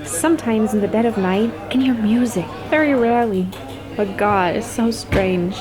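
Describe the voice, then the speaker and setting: A woman's voice: fairly high pitch, normal speed, music in the background, lightly acted. A woman playing a blunt, casual young friend who is uneasy and exasperated, in a conversational audio drama. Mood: uneasy, intrigued. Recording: busy crowd murmur behind.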